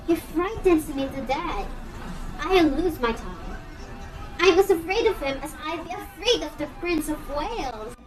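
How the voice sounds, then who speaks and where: Earnest society daughter, played by a woman, timid, quite high voice, amateur play, distant with music. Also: animated, measured pace. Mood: anxious protest. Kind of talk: amateur stage-play acting.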